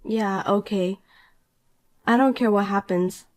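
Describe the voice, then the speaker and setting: A woman voicing a calm, cool married young woman in a dramatized story, dry and patient. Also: medium pitch, slow pace, close to an everyday voice.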